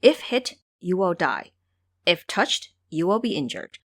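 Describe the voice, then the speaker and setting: A woman's voice: fairly low pitch, big pitch swings, unhurried, a bit bright, dry recording, exaggerated voice. A woman voicing a stern, knowing male guardian god who explains and cautions emphatically in an audio drama. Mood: cautioning.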